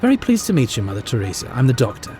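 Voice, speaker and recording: A man playing an eccentric, flippant alien time-traveller in an audio drama, explaining things in an animated manner. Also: mid-range voice, racing, faint music behind. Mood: wry, playful. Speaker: lead actor.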